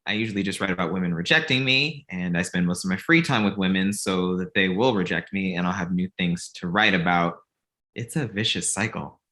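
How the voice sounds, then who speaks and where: A man's voice: low-pitched, fairly quick, pushed and bright. A man playing a glib, self-deprecating young filmmaker in a comedy table read, casual and wry.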